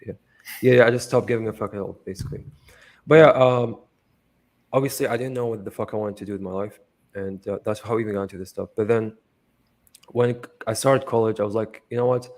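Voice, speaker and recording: A man's voice: lowish voice, fairly even intonation, fast talker. A young man from Iraq, talking casually as an interview guest, rambling with lots of fillers and swearing freely. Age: young adult.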